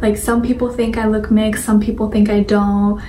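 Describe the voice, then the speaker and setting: A woman's voice: mid-range voice, level tone, normal speed, dark tone. A young adult woman chats casually to viewers as a vlogger, in an informal, rambling way full of fillers. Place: indoor room at home with a sunlit window. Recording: roomy echo, distant mic, faint noise.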